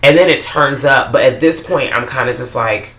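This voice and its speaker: A woman's voice: a bass voice, normal speed. Worn-out woman after work, swearing her way through a movie review, kinda echoey room. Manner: blunt, profane, animated. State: low tired after-work voice. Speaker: podcast co-host. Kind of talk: casual movie review chat. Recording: roomy echo.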